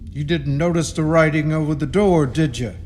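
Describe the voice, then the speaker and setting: A man's voice: fairly high pitch, moderate pace, darkish tone, slight background noise, natural voice. A man playing an ominous rural caretaker in a radio horror play, giving grim, cryptic warnings in folksy country grammar. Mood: grimly foreboding. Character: ominous rustic caretaker, grimly warning intruders. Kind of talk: radio horror drama acting. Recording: long reverb with music behind.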